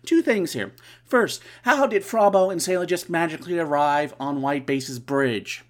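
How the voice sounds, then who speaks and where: A stuffed-up podcast host with a nasal voice, recapping a comic casually in a middling pitch. The host keeps breaking off for wry, sarcastic asides. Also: lively intonation, normal speed. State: stuffy nose.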